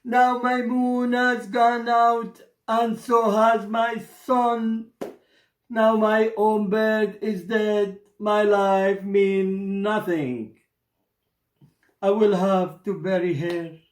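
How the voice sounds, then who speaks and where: A man's voice: sits high, flat delivery, very slow. A man with an Iraqi Arabic accent playing a soulful, remorseful merchant in dramatic, theatrical storytelling. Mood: anguished grief. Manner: dramatic, lamenting. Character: grieving, remorseful male merchant, bird owner. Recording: echoey room.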